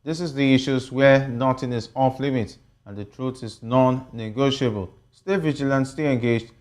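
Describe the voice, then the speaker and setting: A man's voice: medium pitch, moderate pace, a little mellow. A man with a Nigerian accent hosting a broadcast political panel discussion and reading news updates. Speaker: TV host of a political panel show.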